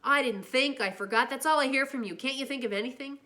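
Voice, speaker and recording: A woman reading a novel aloud, voicing a stern, angry young man who scolds and shouts at his younger brother. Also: high-pitched, fast talker, pitched above the performer's own voice. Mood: shouting.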